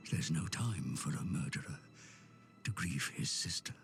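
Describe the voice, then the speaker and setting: A man voicing a grim, ominous narrator of dark fantasy game cutscenes, gravelly and theatrical, with breathy phrase endings. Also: rumbling low, animated, full character voice. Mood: grave, menacing. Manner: slow, theatrical, portentous. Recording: loud music under the voice.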